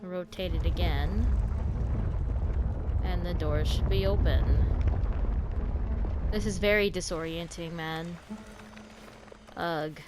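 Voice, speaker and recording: A woman's voice: lowish voice, steady tone, takes it slowly, long pauses. A woman streamer giving casual, informal gameplay commentary, half muttering to herself as she plays. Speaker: streamer reacting to a game. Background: game music.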